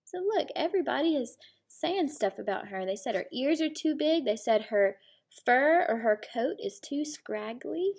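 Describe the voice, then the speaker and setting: A woman teacher reading a storybook aloud to young children, pausing to explain things simply. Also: sits high, animated, darkish tone.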